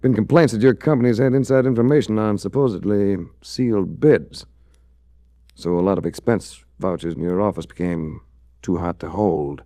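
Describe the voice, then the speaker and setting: Low, creaky suave American radio detective, played by a man. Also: normal speed, mellow, dry recording, natural voice. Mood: probing, matter-of-fact. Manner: smooth, dry, worldly understatement. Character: suave, unflappable male adventurer-detective, wry and shrewd. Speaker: lead actor and first-person narrator in a radio detective series. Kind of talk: 1940s radio drama acting and narration.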